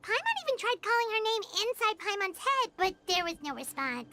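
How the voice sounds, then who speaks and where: A woman voicing a tiny, childlike, curious fairy guide in an anime video game, squeaky and eager. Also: sing-song, fairly quick, heavy background noise. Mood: pondering. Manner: refers to self in third person, eager. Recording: game music playing behind.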